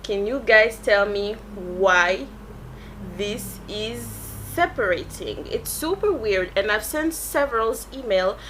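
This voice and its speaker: A woman's voice: medium pitch, animated, slow, a bit bright, slight background noise, rough recording. A French-accented woman, a native French speaker, chatting casually through a makeup tutorial and rambling as she searches for English words. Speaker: beauty YouTuber.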